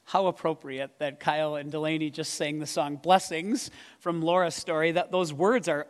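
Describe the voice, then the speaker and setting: A man, a pastor, answering questions in a church Q and A. He talks in a conversational way, thinking aloud with some restarts, into a microphone over the PA. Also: on the high side. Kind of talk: church Q and A talk. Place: church hall. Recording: long reverb of a church hall.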